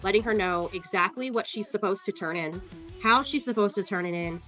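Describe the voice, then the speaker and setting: A woman's voice: medium pitch, brisk, a bit bright. Scornful, sarcastic woman over music. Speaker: online commentator. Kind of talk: casual commentary walking through court records.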